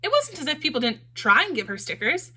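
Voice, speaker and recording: A woman reading a children's storybook aloud in an expressive, animated storytelling manner. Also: high voice, fairly quick.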